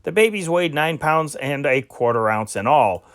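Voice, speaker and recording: A man's voice: a little high, expressive, normal speed, no echo, studio quality. A man reading scripted news reports as an amateur, carefully spelling out names and figures with occasional stumbles. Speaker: amateur reader of historic newspaper reports.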